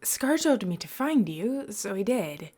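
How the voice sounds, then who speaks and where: A woman voicing a playful, excitable young male college student in a story, animated and mock-indignant. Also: mid-range voice, a little slow. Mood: playful, excited.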